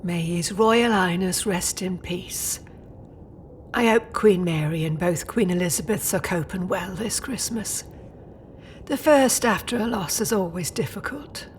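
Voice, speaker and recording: A woman's voice: fairly low pitch, unhurried, a little hiss or hum, natural voice. A woman playing a proud, old-fashioned British mother and grandmother-to-be, formal and stern yet doting, in an audio drama. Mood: prim.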